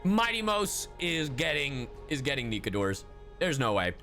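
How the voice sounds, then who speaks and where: A man's voice: mid-range voice, very animated, normal speed, sharp tone, soft background music. A man livestreaming a game, bantering casually in a playful, irreverent, sweary way. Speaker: game streamer.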